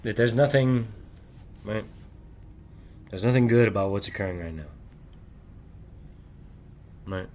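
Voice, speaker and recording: Rambling low-voiced man talking late at night. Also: measured pace, long pauses, darkish tone. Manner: rambling, repeats "right?" often; keeps voice down while family sleeps. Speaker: channel host. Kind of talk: late-night monologue to camera. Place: his home at night.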